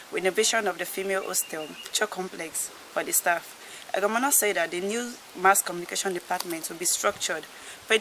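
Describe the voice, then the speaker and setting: A woman's voice: fairly low pitch, fairly bright tone. A young adult woman with a Nigerian accent reading a scripted news report as a student reporter. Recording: noisy amateur recording with ambience.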